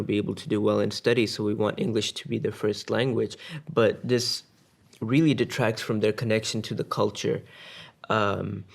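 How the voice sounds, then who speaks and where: A man with a low, gravelly voice lays out his argument step by step on a casual podcast panel. He pauses with fillers but keeps an explanatory tone. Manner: explanatory, lecture-like. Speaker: podcast co-host.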